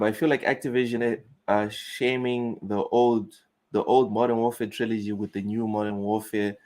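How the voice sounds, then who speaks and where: A young man from Mozambique with a Southern African accent, chatting casually on a gaming live stream, reading chat aloud and riffing bluntly. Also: lowish voice.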